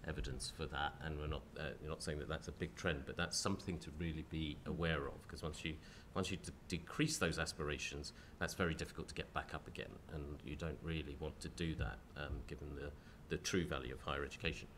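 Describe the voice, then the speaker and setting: Man chairing a panel, very low croaky voice with a cold, faint hiss behind, hesitant restarts. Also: fairly even intonation, fast, hardly any pauses, fairly bright tone. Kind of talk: panel discussion. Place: lecture hall with audience.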